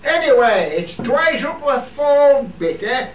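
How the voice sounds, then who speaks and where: A man's voice: very high-pitched, big pitch swings, slow pace, full character voice. A man playing a jovial rustic shepherd in a radio play, speaking broad Cumbrian dialect. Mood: amused. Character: jovial rustic shepherd describing stray sheep. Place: theatre studio. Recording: roomy, distant mic, faint noise.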